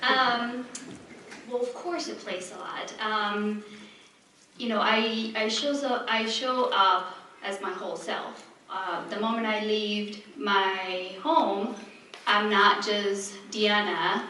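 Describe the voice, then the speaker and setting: A woman from Mexico with a Mexican accent, a native Spanish speaker on a panel, speaking earnestly with many pauses and ums. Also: mid-range voice, fairly even intonation, pushed and bright. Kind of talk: earnest personal account on a panel. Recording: echoey hall, far from the mic, faint noise.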